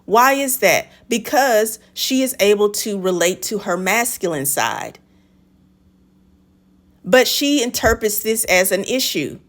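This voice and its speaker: A woman's voice: medium pitch, animated, slow. A woman hosting a reaction video, commenting on a clip in an emphatic, lecturing way and addressing the guest directly.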